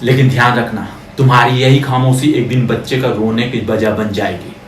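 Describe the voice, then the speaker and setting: A man's voice: mid-range voice, fairly even intonation, moderate pace, close to an everyday voice. A man playing a bitter, defiant condemned prisoner in a theatrical film monologue, scornfully declaiming at a crowd and repeating words for emphasis. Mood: bitter resignation. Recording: echoey, distant, noisy audio.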